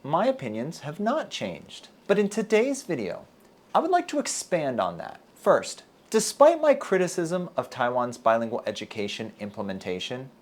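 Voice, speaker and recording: A male university lecturer from Texas speaks in a careful, deliberate way, as if reading a prepared talk. His pitch rises and falls freely, lifting at the start of phrases and settling low at the ends. The tone stays earnest throughout. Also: wildly swooping pitch. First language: English. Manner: measured, earnest, deliberate delivery. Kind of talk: scripted video lecture to camera.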